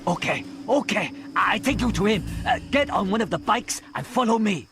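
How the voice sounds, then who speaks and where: An actor playing a cowardly gambler, pleading nervously, in a video game. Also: male voice, sits high, expressive, moderate pace, over music. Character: frightened cowardly gambler begging for his life.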